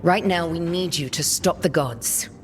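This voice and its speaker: An actress playing a weary, resolute woman leader in a video game, speaking in a measured, grave, commanding way. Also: fairly low pitch, long reverb tail, faint music behind, natural voice. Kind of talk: video game cutscene acting.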